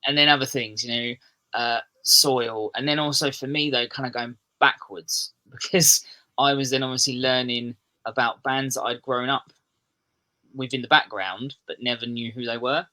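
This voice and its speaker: Rambling English man, bright voice. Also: mid-range voice, steady tone, moderate pace. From Kent, southeast England. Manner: rambling, with many fillers and restarts. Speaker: musician guest. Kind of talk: casual chat.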